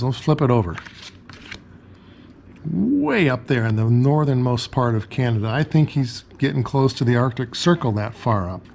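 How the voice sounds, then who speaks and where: A man's voice: medium pitch, darkish tone, slight background noise. A man narrating an explanatory show-and-tell as a ham radio hobbyist.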